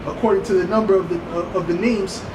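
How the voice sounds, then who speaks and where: A man's voice: high voice, steady tone, fast. A man preaching informally on the street, explaining scripture insistently and often checking for agreement. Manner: insistent, repeatedly seeking agreement with tags like right and okay. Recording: echoey, very noisy outdoor street recording.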